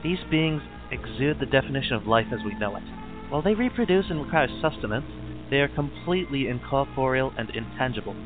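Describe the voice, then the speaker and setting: A man reading scripted mock-documentary narration in a formal, measured, clinical manner. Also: medium pitch. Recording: band-limited lo-fi audio with background music. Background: music.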